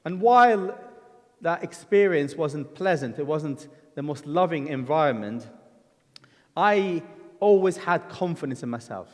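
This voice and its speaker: A middle-aged man from Lebanon preaches in a church hall, and his voice carries a long reverb. His pitch sits a little high for a man. He tells his own story earnestly and conversationally, with ums and restarts. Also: lively intonation, unhurried. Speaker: guest preacher. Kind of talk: sermon with personal testimony.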